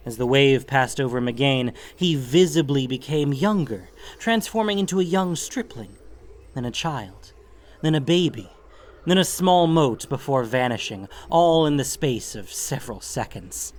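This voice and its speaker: A man narrating scripted fantasy fiction in a grave, deliberate way with theatrical emphasis. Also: medium pitch.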